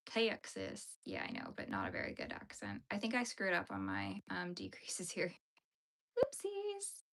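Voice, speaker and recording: A woman's voice: lowish voice, huge pitch swings, measured pace, fairly bright tone. A young woman, chatting playfully with her livestream viewers while she crochets. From Canada. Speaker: livestream host.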